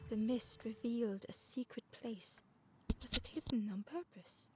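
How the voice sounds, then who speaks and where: A woman narrating a video game in a calm, measured, wistful storybook style. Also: a little high, a little hiss or hum, natural voice, low-quality recording. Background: game music.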